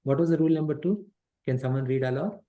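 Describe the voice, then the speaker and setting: A man's voice: a little high, quick pace, warm tone. A middle-aged man teaching an interactive class on a scripture text through a headset microphone. He explains step by step, repeats points and quizzes his students.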